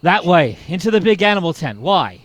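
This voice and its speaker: Man playing animated earnest circus man, high voice. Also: fairly quick, no room sound, full character voice. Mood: urgent. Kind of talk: 1940s radio serial acting.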